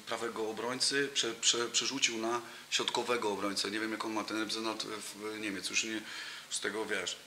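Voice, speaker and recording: A man's voice: fairly low pitch, little pitch movement, moderate pace. A man in his thirties, a football coach as interview guest, talking casually with many fillers and restarts, recorded on a table mic in a cafe room. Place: cafe room with brick wall and sofa. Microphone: table mic in front of him. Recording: roomy, noisy room.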